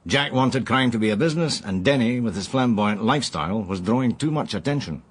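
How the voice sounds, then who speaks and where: Wry friendly bloke investigator, husky, lively chatty delivery, radio play. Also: male voice, medium pitch, fairly quick. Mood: measured, explanatory. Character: affable, wry male investigator reporting cases. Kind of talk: conversational radio drama acting.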